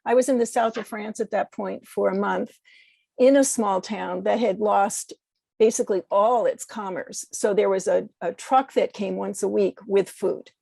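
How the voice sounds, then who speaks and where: An American woman in a conversational discussion, speaking haltingly as she searches for words and corrects herself. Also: medium pitch, fairly even intonation, creaky, darkish tone, no echo. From United States. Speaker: podcast guest.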